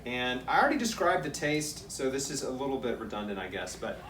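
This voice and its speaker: A man's voice: mid-range voice, big pitch swings, a little fast, a bit bright. An American man hosting a casual fruit tasting on camera, talking informally and with enthusiasm. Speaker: host. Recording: echoey room, distant mic, faint noise.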